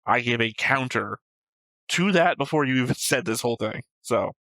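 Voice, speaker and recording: Crisp gravelly man debating casually. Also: medium pitch, expressive, normal speed, bright, forceful tone, no room sound, poor audio. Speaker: reaction show host. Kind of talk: casual debate about an animated series.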